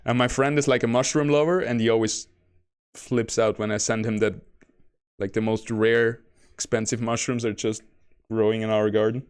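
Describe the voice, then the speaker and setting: A man streaming a game, talking casually in a soft, fairly monotone voice and rambling from one thought to the next. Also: fairly low pitch, moderate pace, smooth. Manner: self-described monotone, soft bedroom voice; rambling, chuckles between remarks. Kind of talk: casual gameplay commentary. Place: home.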